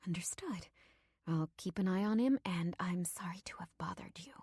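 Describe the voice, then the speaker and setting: A woman's voice: mid-range voice, animated, normal speed, dry recording, studio quality, voice pushed up, close to an everyday voice. A woman voicing an intimidated young female animal keeper in an audiobook, hesitant and placating. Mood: timid, subdued. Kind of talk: audiobook character acting.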